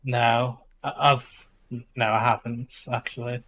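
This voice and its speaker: A young man with a Liverpool accent talks in an easy, informal way. His pitch is average for a man, and his speech is full of gamer slang, like someone chatting on a stream. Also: little pitch movement, slow, a bit bright. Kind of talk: casual chat.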